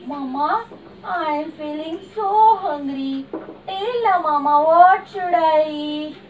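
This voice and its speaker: A woman's voice: high-pitched, takes it slowly, a little mellow, a little hiss or hum. A woman voicing a hungry, confused little girl, whiny and wondering. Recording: distant room mic.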